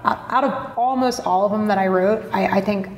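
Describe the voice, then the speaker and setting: A woman's voice: medium pitch, normal speed. Blunt woman swearing in a roomy echo. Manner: blunt, swears freely, many fillers. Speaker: podcast guest, marketing blogger. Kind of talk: candid interview talk. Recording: roomy echo with faint noise.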